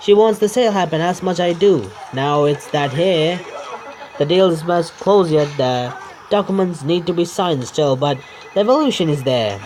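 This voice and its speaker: A man narrates a visual novel as he plays. His voice sits a little high, and he trips over and repeats words, adding asides. The home recording has faint noise. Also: expressive, moderate pace, darkish tone, poor audio. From India. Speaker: gaming YouTuber reading a visual novel aloud. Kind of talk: read-aloud game narration with asides.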